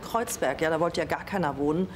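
A woman's voice: sits low, fairly quick, background noise. A woman from Berlin, a chocolate shop owner, reminiscing in a conversational documentary interview. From Berlin, Germany. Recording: music underneath. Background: music.

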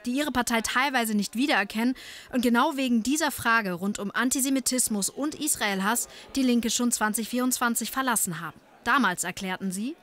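Higher-voiced woman narrating a news report. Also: fairly bright tone. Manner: measured, clear delivery.

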